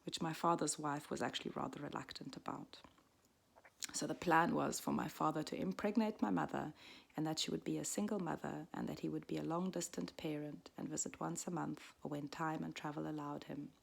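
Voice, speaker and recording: A woman in her forties, raised in Switzerland, reading a personal story aloud in a soft, reflective way that often drops to a half-whisper. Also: low-pitched, fairly even intonation, brisk, creaky, breathy edge. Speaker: midwife and storyteller.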